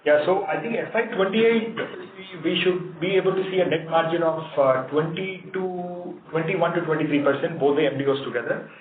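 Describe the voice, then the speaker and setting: A man's voice: fairly high pitch, moderate pace, hardly any pauses, a crowd in the background. A man with an Indian accent answering analysts' questions as a company executive on a conference call. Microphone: conference phone line. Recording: tinny phone line, echoey room, noisy.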